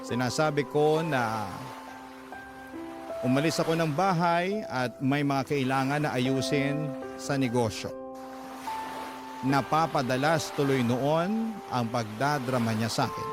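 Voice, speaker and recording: A man's voice: medium pitch, unhurried. A man narrating a dramatic radio story as a letter read aloud, with music behind him. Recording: background music under the voice.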